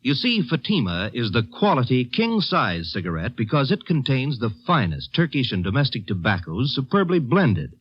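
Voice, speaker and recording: An American male announcer delivers a mid-century radio commercial. His intonation swings widely around an average pitch. The recording is dull and aged. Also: sing-song, normal speed, few pauses, husky, no room sound. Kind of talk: 1950s radio advertising pitch. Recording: muffled vintage radio recording.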